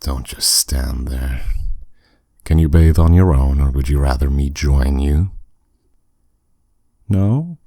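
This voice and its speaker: Demon lord played by a man, extremely low voice, dry close sound. Smug, commanding, teasing; intimate audio roleplay. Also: dark tone, dry recording, heavily acted. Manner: commanding, condescending, unhurried, teasing. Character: dominant, suave male demon lord, smugly teasing. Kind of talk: roleplay audio drama, addressed intimately to listener.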